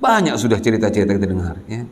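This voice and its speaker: A man's voice: on the low side, sing-song, rapid-fire. A man giving a religious exhortation to students, admonishing and repeating his points, often checking in with listeners. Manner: repetitive, admonishing, frequent "ya" check-ins to listeners. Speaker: Islamic boarding school teacher and preacher. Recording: long hall reverb.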